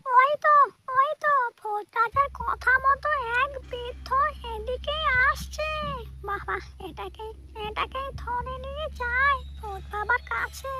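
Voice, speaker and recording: A performer voicing a shrill, whining, vengeful female witch ghost in a cartoon, given to theatrical repeated exclamations. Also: takes it slowly, full character voice. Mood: eager. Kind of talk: Bengali cartoon voice acting. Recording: loud music behind the voice.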